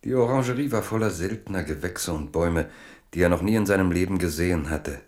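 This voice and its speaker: Very deep-voiced man narrating. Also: fast talker, a little mellow. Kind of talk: scripted literary narration in a radio drama.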